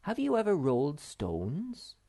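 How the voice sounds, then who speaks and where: A man doing a calm, easygoing wanderer. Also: fairly high pitch, a little slow, no echo, studio quality, pitched above the performer's own voice, exaggerated voice. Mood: coaxing.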